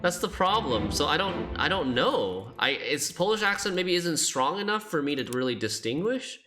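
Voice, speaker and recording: A streamer giving live gameplay commentary, thinking aloud in an animated, self-deprecating way. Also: mid-range voice, moderate pace, a little hiss or hum.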